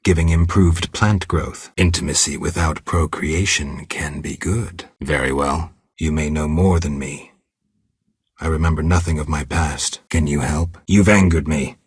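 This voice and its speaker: A man playing a stoic, blunt monster hunter in a video game, with a gravelly, husky voice and a terse, deadpan manner. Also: extremely low pitch, a little slow, no echo, clean studio sound, heavily acted. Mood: level, terse. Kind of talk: video game dialogue acting.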